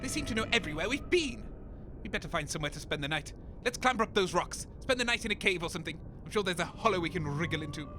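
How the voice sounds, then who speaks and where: Super high voiced bossy sarcastic dj sidekick, audio comedy, noisy behind. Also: male voice, animated, fast, sharp tone. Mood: dryly scolding. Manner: bossy, mocking, melodramatic. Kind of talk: comedy audio drama acting.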